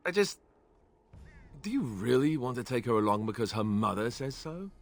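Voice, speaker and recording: An actor playing an earnest, wary young warrior in a video game, boyish and a little hesitant. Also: male voice, mid-range voice, big pitch swings, normal speed, no room sound. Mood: doubtful. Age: young adult. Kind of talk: video game dialogue acting.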